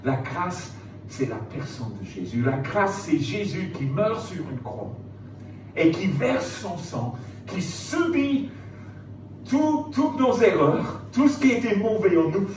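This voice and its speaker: A man preaching an impassioned Bible sermon, emphatic and repetitive, with rhetorical questions, swinging from hushed phrases to loud ones. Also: high-pitched, very animated, slow. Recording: roomy, distant mic, clear background noise.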